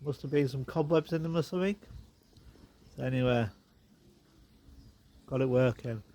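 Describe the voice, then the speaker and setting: A man's voice: medium pitch, normal speed, warm tone. A man with a bit of a blocked nose, chatting casually and rambling wryly as he walks around his garden. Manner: chatty, rambling, wry asides. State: a bit bunged up. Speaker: garden vlogger. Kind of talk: casual walk-and-talk garden tour. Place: outdoors in a garden. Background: birds and animals.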